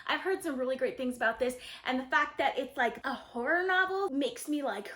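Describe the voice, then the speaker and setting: High-pitched, enthusiastic woman chatting casually. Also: a little fast, a bit bright. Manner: enthusiastic, gushing, frequent "really" and "like". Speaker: book reviewer and vlogger. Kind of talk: casual chatty book haul talk to viewers.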